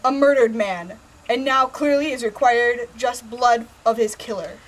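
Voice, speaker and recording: A woman's voice: a little high, a little slow, noisy background, lightly acted. A woman playing a king's brother who formally delivers a god's message in an amateur Greek tragedy. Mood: grave.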